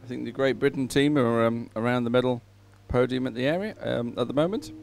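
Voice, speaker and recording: A man commentating live at a sporting event and reading out results in a hesitant, halting way. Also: mid-range voice, creaky, darkish tone.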